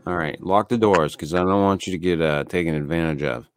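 Low-voiced man in casual, crude podcast banter. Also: a little fast. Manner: laid-back, swears freely, lots of fillers. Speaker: podcast co-host.

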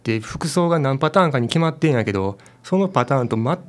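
An actor with a Kansai accent playing a casual, blunt convenience store clerk in a radio drama. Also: male voice, mid-range voice, lively intonation, quick pace, lightly acted. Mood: indignant.

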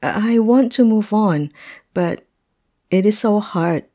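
A woman acting a hesitant, polite, heartbroken client in a fantasy roleplay audio drama, speaking haltingly. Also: mid-range voice, lively intonation, warm tone. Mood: wistful, sad.

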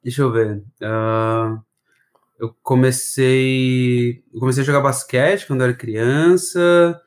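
Man, mild southern Brazilian accent, medium pitch, informal rambling podcast guest, slangy. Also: fairly even intonation, takes it slowly. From Florianópolis, southern Brazil. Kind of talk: casual chat.

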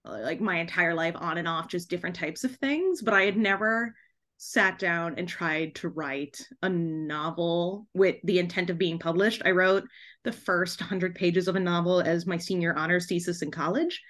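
A woman chatting casually as an interview guest, an author who tells stories in an animated, giggly way with lots of "like". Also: fairly low pitch, normal speed.

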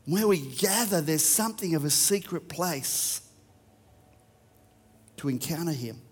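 A man's voice: on the high side, animated, measured pace, breathy edge, big-room sound. An Australian man preaching a sermon, reading verses and talking conversationally to a church. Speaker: pastor.

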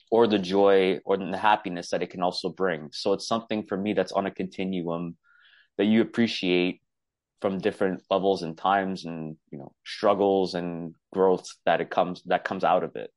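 A man, his voice sitting very low, speaks as a podcast guest in a loose, easygoing way, often reaching for "you know". The sound is close and dry, with no room around it. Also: no room sound. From Canada. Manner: relaxed, easygoing, many fillers like "you know". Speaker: podcast guest, boxing coach. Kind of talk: casual interview chat.

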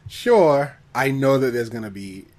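A man's voice: mid-range voice, animated, a little slow, darkish tone. Chatty man with a mid-range voice. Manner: questioning, acts out mock dialogue. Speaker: podcast co-host. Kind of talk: casual chat. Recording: noticeable background noise.

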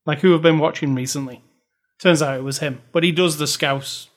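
A young British man talking films with a co-host, wry and opinionated as he picks at bad accents. His voice sits a little high for a man, and his complaints come out animated and emphatic. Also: a little fast. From Britain. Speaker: podcast co-host. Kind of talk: casual film review chat.

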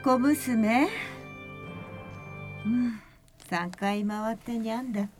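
A woman's voice: a little high, fairly even intonation, drawn-out words. A woman voicing a haughty rain-spirit princess who eats humans, speaking in an imperious, old-fashioned way, in a voice drama. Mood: commanding. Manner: imperious, archaic speech. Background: background music.

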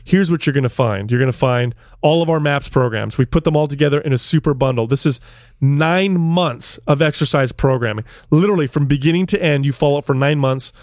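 A man co-hosting a podcast with a loud voice, trading crude, profane jokes and mock sales pitches. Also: a little fast, no room sound, studio quality. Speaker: podcast co-host. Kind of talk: casual crude banter.

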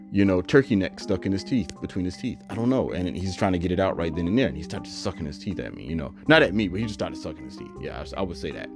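Animated low-voiced man telling a story. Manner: animated, digressive, many fillers and asides; self-described bassy voice. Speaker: vlogger. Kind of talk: rambling personal storytelling.

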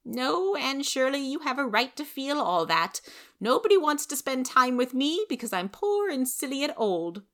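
A woman's voice: high voice, big pitch swings, normal speed, pitched above the performer's own voice. A woman playing a lonely, self-pitying but forthright old woman in a storybook, speaking plaintively and emphatically. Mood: sad, self-pitying.

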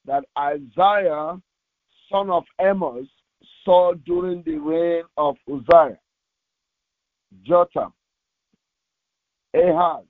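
A man preaching as a pastor, reading scripture aloud in a solemn, deliberate way with short halting phrases, over a narrow phone-like recording. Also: fairly high pitch, no echo. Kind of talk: devotional sermon with slow scripture reading aloud. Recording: tinny, phone-like narrowband audio.

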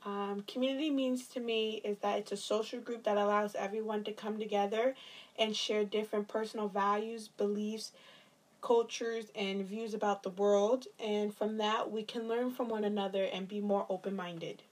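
A woman's voice: mid-range voice, flat delivery, moderate pace. A young woman giving thoughtful, slightly hesitant answers in a family interview.

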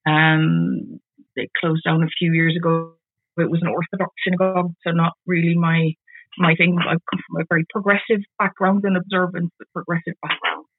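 A woman in her forties from the Netherlands, with a Dutch accent, talking casually as an interview guest, halting and often repeating words as she restarts. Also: fairly low pitch, flat delivery, slightly rough, no room sound. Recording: tinny phone-like call audio.

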